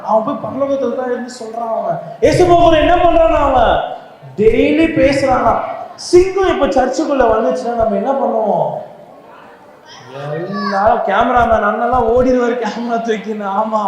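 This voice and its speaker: A children's Bible class teacher who calls out loud, animated questions to a group of kids at a church Bible school. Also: medium pitch. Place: inside a church hall, with a crowd of children. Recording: very echoey church hall with long reverb and a children's crowd.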